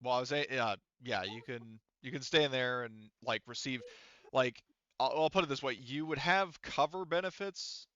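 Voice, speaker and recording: A man with a bright, smooth voice works as a game master, talking players through a tabletop game. He explains rules casually and thinks aloud, in a close, dry recording that sounds slightly dull. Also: medium pitch, normal speed, no room sound.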